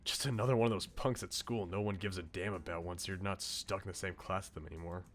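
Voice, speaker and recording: A man's voice: low-pitched, fairly even intonation, fast talker, faint music behind, lightly acted. A man voicing a brash, insecure but loyal eighteen-year-old slacker in a visual novel, with blunt, casual slang. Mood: wistful, frank. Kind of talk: visual novel character read aloud.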